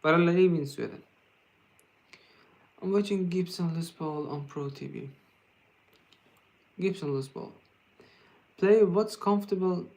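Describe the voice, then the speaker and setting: A man with a Persian accent, his voice sitting a little high, talks casually to viewers on a guitar livestream. He stumbles and restarts often, padding his answers with fillers, and laughs easily as he jokes. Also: darkish tone, low-quality recording. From Iran. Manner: halting, with frequent fillers and restarts. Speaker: guitar teacher hosting a livestream.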